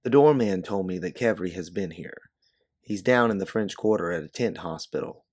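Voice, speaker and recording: A man's voice: low voice, expressive, moderate pace, darkish tone. A man voicing a young woman in a story, anxious, guarded and determined, speaking in careful, earnest phrases. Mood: composed, urgent. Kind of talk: storybook character dialogue.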